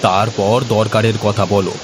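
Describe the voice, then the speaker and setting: Man voicing a low-pitched, kindly village friend. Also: level tone, normal speed, background noise, natural voice. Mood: reassuring. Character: kind, hospitable village man, reassuring friend. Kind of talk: cartoon story dialogue. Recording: music clearly behind the voice.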